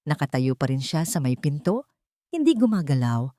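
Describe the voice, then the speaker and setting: A woman's voice: deep voice, sing-song, moderate pace, dark tone, dry recording, studio quality. A woman narrating an audio drama in a scripted, emotional and emphatic storytelling style.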